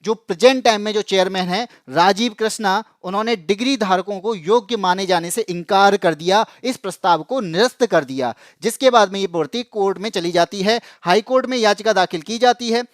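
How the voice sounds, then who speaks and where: A man's voice: high voice, fairly quick, hardly any pauses, dry recording, clean studio sound. A man presenting an explanatory news update to students, emphatic and repeating phrases for stress. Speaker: exam coaching channel presenter.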